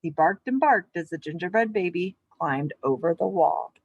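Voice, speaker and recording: A woman speaking as an elementary teacher to young children, in simple, clear wording suited to a lesson or storybook read-aloud. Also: on the low side, lively intonation, normal speed, dry recording, muffled recording. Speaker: elementary physical education teacher.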